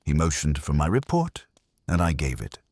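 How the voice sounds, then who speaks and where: Very deep-voiced man narrating detective fiction. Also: sing-song, moderate pace, darkish tone, dry recording. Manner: wry, hardboiled. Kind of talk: first-person scripted detective fiction narration.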